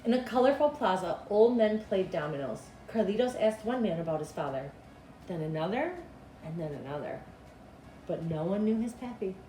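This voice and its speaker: Expressive woman reading a storybook, echoey room. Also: mid-range voice, moderate pace, faint music behind. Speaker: school principal reading aloud. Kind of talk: children's storybook read-aloud. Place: small cinderblock school room, indoors. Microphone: camera a couple of meters away. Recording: echoey room with clear background noise.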